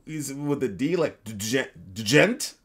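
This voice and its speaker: A man from Jamaica, co-hosting a casual live chat, animated and laughing often as he reads comments. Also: mid-range voice, slow pace, slightly rough. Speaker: co-host.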